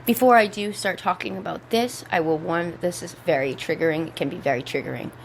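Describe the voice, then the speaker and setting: A young woman with a low voice, still hoarse from a cold. She rambles in a relaxed, easygoing way and swears freely. Faint noise hums behind her. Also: fast, poor audio. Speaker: podcast host. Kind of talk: casual chat. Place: indoors at home.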